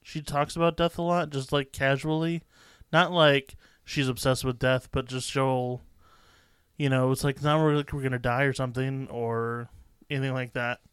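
A man's voice: medium pitch, flat delivery, moderate pace, fairly bright tone, smooth, no room sound, studio quality. A man co-hosting a podcast, chatting casually about a movie in a laid-back, rambling way. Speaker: podcast co-host. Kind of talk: casual movie review chat.

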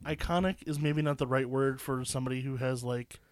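A man's voice: mid-range voice, fairly even intonation, fairly quick, slight background noise. A man co-hosting a podcast in casual chat, nasal from a stuffed-up nose, with dry joking asides, recorded in a cold basement. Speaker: podcast co-host.

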